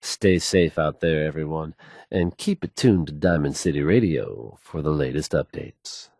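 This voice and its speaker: A man's voice: very deep voice, a little slow, gravelly, darkish tone, husky, no room sound, clean studio sound, heavily acted. A man playing a self-assured radio newscaster in a video game, fluent and folksy as he announces the news. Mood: assured, serious. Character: confident, smooth, assured male radio newscaster.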